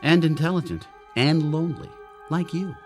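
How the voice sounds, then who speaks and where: A man's voice: on the high side, moderate pace, faint music behind, close to an everyday voice. A man in a radio drama playing a troubled android who believed he was human, speaking in urgent, challenging, terse phrases. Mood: bitter.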